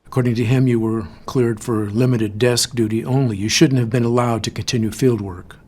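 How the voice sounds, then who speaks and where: An actor playing a stern, measured male superior officer in an audio drama, firm but reluctant. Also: mid-range voice, fairly even intonation, a little mellow, natural voice. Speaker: boss of an agent. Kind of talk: audio fiction drama acting.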